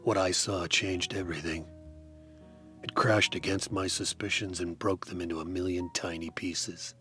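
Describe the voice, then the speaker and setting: Deep-voiced deadpan noir narrator, man. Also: moderate pace, soft background music. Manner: dry, deadpan, wry. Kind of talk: hardboiled detective noir narration.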